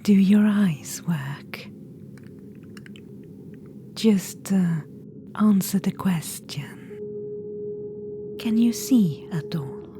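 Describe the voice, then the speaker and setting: A woman's voice: mid-range voice, animated, extremely slow, pauses often. A woman voicing an imperious undead witch-queen spirit in an ASMR audio roleplay. She speaks in a whisper, teasing, condescending and commanding. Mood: coaxing whisper. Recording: clear background noise.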